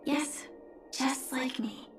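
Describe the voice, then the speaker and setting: A woman voicing a grieving but resolute young knight in a video game, solemn, restrained and softly breathy. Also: high voice, very slow. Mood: solemn, resigned. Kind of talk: dramatic video game voice acting. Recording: roomy echo, music underneath. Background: music.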